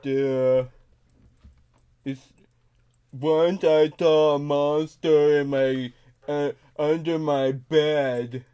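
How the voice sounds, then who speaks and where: A man plays a childlike grown man who gets confused and upset easily. He talks extremely slowly in a smooth, slightly high voice, halting and insisting on the same words. It is prank-call comedy. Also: fairly even intonation, warm tone, muffled recording. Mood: timid, plain.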